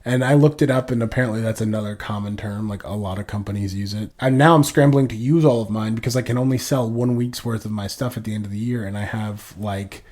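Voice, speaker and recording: A man in his thirties, congested and low on energy, co-hosting a podcast in casual, rambling chat full of swearing. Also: fast, hardly any pauses, a little mellow. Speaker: podcast co-host.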